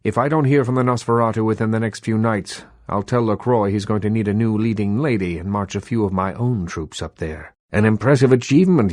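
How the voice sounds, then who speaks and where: Guy doing a old-fashioned vampire boss for a game, lowish voice, world weary and theatrical, really dry close sound. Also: darkish tone, no echo, close to an everyday voice. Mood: gracious, formal. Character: proud old-fashioned vampire elder, Hollywood baron. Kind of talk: scripted video game dialogue.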